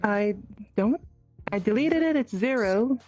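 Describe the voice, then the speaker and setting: A woman with a high, very animated voice talks through a casual game stream in a flustered, apologetic way. She takes her time, hesitating often, with music playing behind her. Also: unhurried, dry recording. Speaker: host of a virtual-reality roleplay livestream. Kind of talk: casual live gaming chat.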